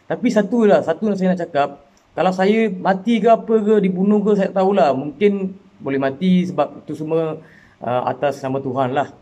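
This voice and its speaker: Impassioned Malaysian man, mellow voice. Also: high-pitched, brisk, highs cut off. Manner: impassioned, repetitive, rising to emotional pleas. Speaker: citizen speaking out. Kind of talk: earnest personal appeal to camera.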